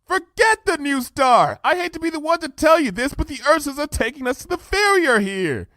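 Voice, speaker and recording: A man voicing a frantic young female unicorn who pleads and shouts desperately. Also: very high-pitched, very animated, normal speed, dry recording, studio quality, pitched above the performer's own voice, full character voice.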